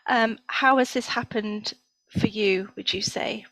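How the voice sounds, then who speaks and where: A woman's voice: a little high, steady tone, unhurried, fairly bright tone, no room sound, poor audio. A woman hosting an interview over a video call, upbeat and encouraging, often praising the guest's answers. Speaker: interview host. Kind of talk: hosted interview.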